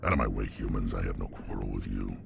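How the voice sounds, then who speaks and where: An actor voicing a hulking alien mercenary in a video game, gravelly, menacing and deliberate. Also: male voice, rumbling low, steady tone, over loud music, full character voice. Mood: cold menace.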